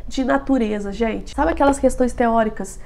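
A woman's voice: fairly high pitch, normal speed, creaky. A young woman in her twenties with a nasal, stuffy voice from a head cold, chatting casually to camera in a small bedroom, giving study advice with frequent filler tags. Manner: chatty, lots of filler tags, animated hand gestures. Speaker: vlogger.

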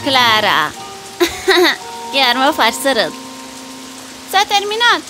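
A woman voicing a caring, expressive young village girl in a dubbed cartoon fairy tale. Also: high-pitched, over music, low-quality recording. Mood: concerned.

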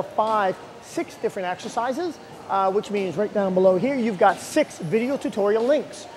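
A man in his forties, a gym coach, giving energetic, encouraging workout instructions to the camera in a large gym on a lapel mic. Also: sits high, a little hiss or hum. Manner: energetic, encouraging, loose and unscripted. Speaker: gym owner and fitness coach.

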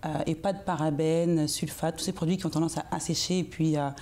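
A woman's voice: low-pitched, steady tone, brisk, mellow. A young woman from France, a guest in a TV studio interview, explaining earnestly with many fillers. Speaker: guest, cosmetics entrepreneur. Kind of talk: interview answers.

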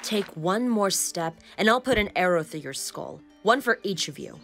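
An actress playing a fierce, threatening archer in a fantasy audio drama, commanding and menacing. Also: female voice, mid-range voice, lively intonation, moderate pace, a bit bright, faint music behind.

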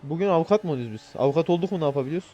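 A slightly high-voiced man rattles along at a quick clip as he plays an online roleplay game. He is brash and casual, full of slang and profanity. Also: animated, dull audio, music in the background. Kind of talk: casual chat while gaming.

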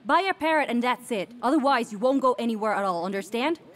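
A woman's voice: a little high, expressive, quick pace, close to an everyday voice. An actress playing a stern mother who lays down rules in a curt, firm way.

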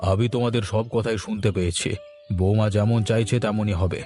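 Man acting a low, mellow, resigned old father. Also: fairly even intonation, normal speed, faint music behind, close to an everyday voice. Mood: resigned, caring. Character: sorrowful, resigned, forgiving old father-in-law. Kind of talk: Bengali cartoon voice acting.

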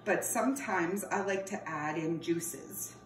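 A woman's voice: on the low side, takes it slowly. Woman teaching kids a cooking class. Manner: friendly, explains step by step while preparing food, calls viewers friends. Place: home kitchen. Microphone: camera across the counter, no visible mic. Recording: roomy, distant camera audio with background noise.

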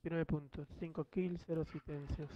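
A man's voice: fairly high pitch, flat delivery, fairly quick, dark tone, dull audio, a little hiss or hum. A man in his thirties chatting casually with his squad during a game. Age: 30s. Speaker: player in a squad. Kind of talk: casual gaming chat.

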